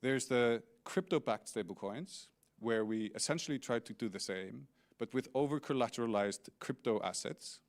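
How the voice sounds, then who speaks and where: A man's voice: mid-range voice, normal speed. A middle-aged man with an Icelandic accent gives a conference presentation as a company founder, explaining things with light humor and small restarts. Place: large indoor conference stage.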